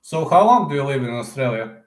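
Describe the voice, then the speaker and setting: A man's voice: mid-range voice, big pitch swings, brisk. Chatty young man in a roomy echo. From Serbia. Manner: chatty, repeats phrases and answers chat questions. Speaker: livestream host. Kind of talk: casual livestream chat with viewers.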